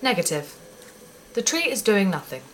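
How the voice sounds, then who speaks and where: A woman's voice: mid-range voice, big pitch swings, moderate pace, slight background noise, lightly acted. A woman voicing a terse, literal robotic companion in an audio drama, speaking in clipped reports. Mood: flat. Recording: studio drama with loud music bed.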